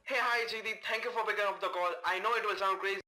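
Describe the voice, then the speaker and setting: Man, phone-style monologue, quick pace, sharp forward tone, light music underneath. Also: sits high, steady tone. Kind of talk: recorded phone-message monologue.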